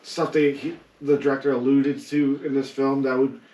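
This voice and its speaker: A man's voice: medium pitch, little pitch movement, normal speed, warm tone. A man speaking as a podcast co-host, chatting casually about his picks with a group. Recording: echoey room, distant from mic.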